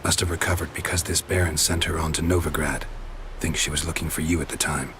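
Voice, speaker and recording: An actor playing a gruff, weary monster hunter in a video game, terse, deadpan and gravelly, with clipped delivery. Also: male voice, very deep voice, fairly quick, noisy background. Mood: grave, matter-of-fact. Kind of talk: video game cutscene acting. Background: music.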